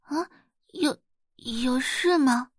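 A woman voicing a timid, hesitant teenage schoolgirl who speaks haltingly in an audio drama. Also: high voice, breathy edge, no echo, studio quality, voice pushed up, exaggerated voice. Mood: timid, surprised.

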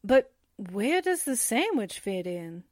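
A woman playing a wisecracking, folksy woman friend who is a little slow to catch on, in a 1940s radio drama style. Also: mid-range voice, very animated, no echo, clean studio sound. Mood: wry, puzzled.

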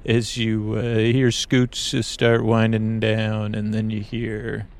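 A man hosting a sleep podcast in a creaky voice, hesitant and meandering as he introduces things and corrects himself. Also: on the low side, completely flat delivery, slow, slight background noise. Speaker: podcast host.

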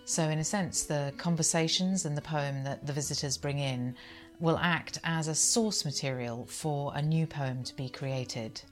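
A woman's voice: deep voice, unhurried. A woman podcast host giving a scripted-sounding closing announcement. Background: plucked guitar music.

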